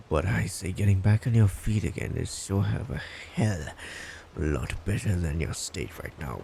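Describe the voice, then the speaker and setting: A man's voice: low-pitched, creaky, dark tone, a little hiss or hum. A man playing a blunt, smug, creepy lovestruck stalker in an intimate boyfriend roleplay, condescending and teasing. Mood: condescending, fond. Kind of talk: boyfriend roleplay audio, intimate scripted monologue.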